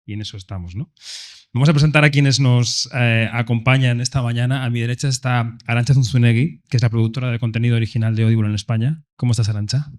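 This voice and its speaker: Man, Castilian Spanish accent, mid-pitched voice, event moderator, live panel presentation. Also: steady tone, fast.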